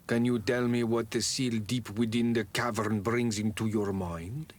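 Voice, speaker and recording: An actor playing a wise, solemn elder of an island tribe in a fantasy game, speaking in a measured, ceremonial way. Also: male voice, medium pitch, fairly even intonation, natural voice. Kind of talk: fantasy video game cutscene acting.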